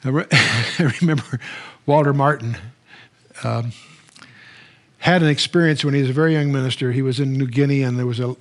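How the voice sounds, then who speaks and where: A man's voice: mid-range voice, fairly even intonation, brisk, pauses often. An older man teaching a Bible lecture from a lectern, conversational and wry, with frequent restarts. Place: studio stage, standing at a lectern.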